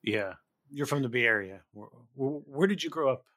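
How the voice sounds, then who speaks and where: A man's voice: medium pitch, animated, moderate pace, fairly bright tone, no echo, studio quality. A man hosting an interview podcast, reflective and searching for his words as he asks questions. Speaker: podcast host.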